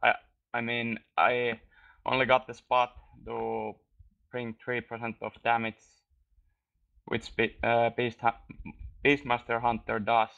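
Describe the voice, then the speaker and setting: Finnish male gamer guest, slow, flat, gravelly, tinny voice-chat line. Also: mid-range voice. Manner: hesitant, halting with many fillers. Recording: phone-like voice chat.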